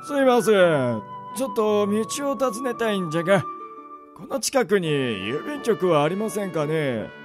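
A man's voice: sits high, big pitch swings, unhurried, over music. A man acting a polite elderly passer-by with old-fashioned speech in a radio drama. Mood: hesitant, polite.

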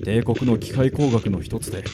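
A man's voice: lowish voice, normal speed, noisy background, natural voice. A man playing a polite, protective attendant in a radio drama, courteous and explanatory. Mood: courteous, caring. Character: polite, protective male attendant to young girl. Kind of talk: Japanese radio drama acting. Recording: music under the voice.

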